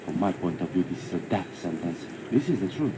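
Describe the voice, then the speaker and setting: An actor playing a solemn, indignant political speaker giving a deliberate, declamatory broadcast address in a game. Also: male voice, low-pitched, lively intonation, noisy background. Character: grave, indignant political speaker denouncing the government.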